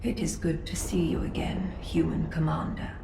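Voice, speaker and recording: An actress voicing an ailing, dignified grand master of a sci-fi council in a video game, frail and breathy, with formal, halting speech. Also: female voice, sits low, level tone, echoey room, whispered, poor audio. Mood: grave, weary. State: unwell, coughing. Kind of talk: video game story dialogue.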